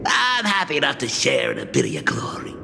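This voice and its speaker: A man's voice: on the high side, wildly swooping pitch, fairly quick, vocal fry, background noise, full character voice. An actor playing a sly, flamboyant male gang boss who cackles and wheedles through a theatrical game scene. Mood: jovial. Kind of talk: video game voice acting. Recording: game audio with music, long reverb.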